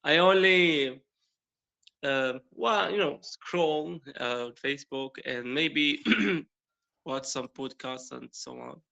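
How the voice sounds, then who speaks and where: A young man from Morocco, a native Arabic speaker, talks slowly and hesitantly in English. His voice is gravelly and bright, and he restarts phrases often. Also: on the high side, dull audio. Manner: hesitant, many fillers and restarts, laughs often. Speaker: primary school teacher practicing English. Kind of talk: casual conversation practice.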